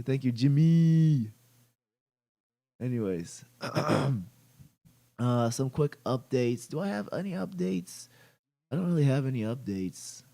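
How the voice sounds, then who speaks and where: A young man hosts a casual gaming livestream with a blocked, stuffy nose. He talks at an unhurried, plodding pace in a mid-range voice and stumbles through many fillers and restarts. Now and then he breaks off to greet chat viewers. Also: mid-range voice, a little mellow. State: stuffy-nosed from allergies. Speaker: gaming channel host.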